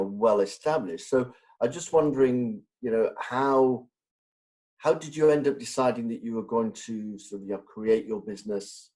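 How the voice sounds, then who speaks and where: A man's voice: fairly low pitch, dark tone, low-quality recording. A man interviewing a guest, asking questions hesitantly with many "you know"s and "uh"s.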